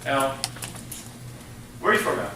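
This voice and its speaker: A man's voice: medium pitch, big pitch swings, unhurried, pushed and bright, a little hiss or hum. A man teaching a college hospitality class, animatedly acting out business deals with his students. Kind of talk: interactive classroom lecture. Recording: echoey classroom from far off.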